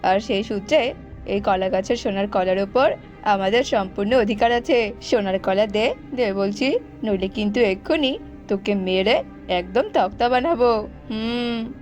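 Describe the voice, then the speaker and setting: A woman's voice: medium pitch, unhurried, a little mellow, soft background music, pitched below the performer's own voice, lightly acted. A woman voicing a greedy, envious bird bully with a demanding, menacing manner in a children's cartoon. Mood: threatening.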